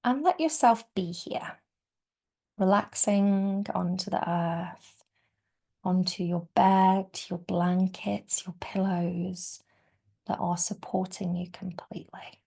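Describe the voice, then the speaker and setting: Gently creaky woman guiding meditation. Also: on the low side, steady tone. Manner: soft, soothing, unhurried delivery with gentle pauses. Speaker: yoga nidra teacher. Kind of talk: guided meditation.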